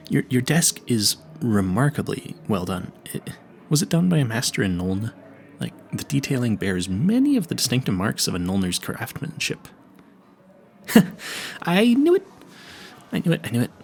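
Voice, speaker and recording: A man's voice: mid-range voice, gravelly. A man playing a nervous, clueless young vampire hunter in an audio roleplay, stammering and easily sidetracked as he earnestly chats to the listener.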